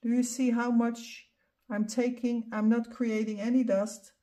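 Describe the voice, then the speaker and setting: A middle-aged Dutch woman talks through her painting in English, her second language. She speaks unhurriedly, with a mellow, soft tone, explaining each step calmly as she demonstrates. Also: a little high, a little slow. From Netherlands. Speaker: art instructor. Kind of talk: painting tutorial voice-over.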